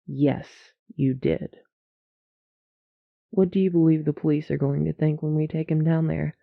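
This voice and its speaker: Woman as stern dutiful matriarch, very low creaky voice, measured, curt, reproving, sceptical, audio drama. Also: fairly even intonation, no room sound, narrow, tinny audio, exaggerated voice. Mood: stern, disappointed. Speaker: voice actor in an audio roleplay. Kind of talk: audio roleplay acting.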